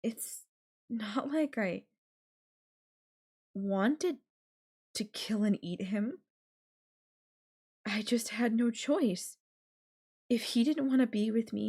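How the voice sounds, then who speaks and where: A woman's voice: a little high, warm tone, breathy edge, heavily acted. A woman playing an obsessive, menacing yandere girlfriend in an intimate audio roleplay, speaking to her captive lover with condescending, coaxing sweetness. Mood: desperate, defensive. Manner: sweetly condescending, coaxing, threatening, with lingering pauses.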